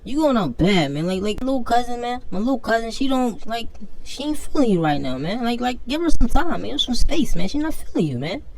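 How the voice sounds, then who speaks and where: A man voicing a brash, protective male cousin with a put-on Northern US urban accent, talking down in repetitive, halting phrases. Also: extremely high pitch, very animated, gravelly, darkish tone, a little hiss or hum, voice pushed up, heavily acted, low-quality recording. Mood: dismissive, pleading.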